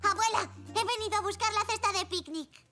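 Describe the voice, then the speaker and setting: An actor voicing a curious, cheerful five-year-old boy zebra in a preschool cartoon, earnest and expressive, with a European Spanish accent. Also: extremely high pitch, fairly quick, faint music behind. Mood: excited. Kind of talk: dubbed preschool cartoon acting.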